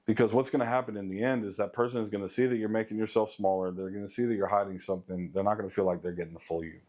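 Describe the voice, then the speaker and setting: A man in his late thirties with a deep voice, giving a blunt, profane motivational rant to his viewers as a vlogger. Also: fairly even intonation, rapid-fire, darkish tone. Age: late 30s. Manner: blunt, profane, emphatic repetition. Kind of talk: casual motivational rant to camera.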